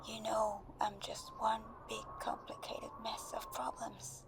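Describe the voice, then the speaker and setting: A woman playing a timid, anxious best friend in an intimate ASMR roleplay, speaking in a hesitant, breathy half-whisper as if leaving a voice message. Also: fairly high pitch, level tone, measured pace. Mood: apologetic, tender, anxious.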